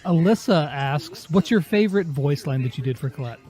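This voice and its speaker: A man's voice: fairly high pitch, lively intonation, a little fast, dark tone, faint music behind. A man hosting a casual interview, asking questions in a chatty, informal way. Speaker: interview host.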